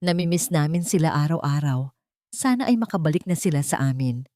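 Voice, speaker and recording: Scheming society mother read by a woman narrator, low voice, pleading with staged sorrow, audio melodrama. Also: animated, fairly quick, mellow, no echo. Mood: tearful longing. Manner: pleading, tearful show of sorrow. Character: scheming wealthy mother playing tearful and grieving.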